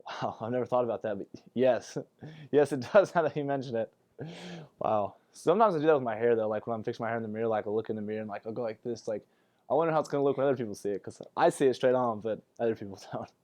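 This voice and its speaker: Fast-talking young man, casual. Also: mid-range voice, darkish tone. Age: young adult. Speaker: college basketball player. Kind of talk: casual playful interview chat.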